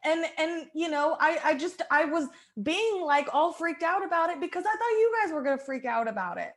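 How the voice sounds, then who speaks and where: A woman's voice: high-pitched, expressive, a little fast. A woman improvising comedy banter in a casual, mock-defensive way.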